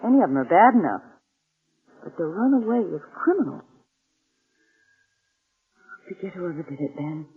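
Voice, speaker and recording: Fussing, husky wife on old radio. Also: female voice, fairly low pitch, big pitch swings, moderate pace, pauses often, full character voice. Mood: earnest, firm. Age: middle-aged. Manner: solicitous, earnest. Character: fussing, loving, morally firm middle-aged policeman's wife. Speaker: radio actor. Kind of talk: old-time radio drama acting. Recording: narrow, fuzzy vintage radio sound.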